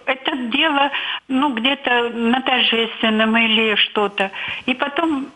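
A woman's voice: fairly high pitch, pushed and bright. An eighty-year-old woman phoning in to a radio show, giving her opinion in a plain, earnest, apologetic way. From Saint Petersburg, Russia. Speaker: radio phone-in caller. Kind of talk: earnest opinion on a live call. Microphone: telephone. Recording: noisy telephone line on radio.

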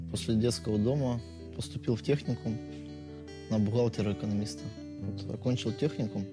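A man giving a plain personal account as an interviewee, in simple, hesitant, matter-of-fact phrases. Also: lowish voice. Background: guitar music.

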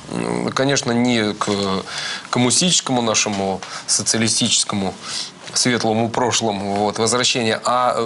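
A middle-aged man in a live radio discussion, speaking reflectively in formal words, halting and deliberate as he builds his point. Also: medium pitch, a bit bright, slight background noise. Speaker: talk show participant in studio.

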